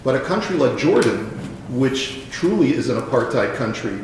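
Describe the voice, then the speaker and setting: A man's voice: mid-range voice, very animated, normal speed. A man with a mid-range voice, a rabbi at a public dialogue, hesitates with fillers before pressing his point with emphasis. The sound is very echoey, as if heard across a large hall with faint ambient noise. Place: event hall.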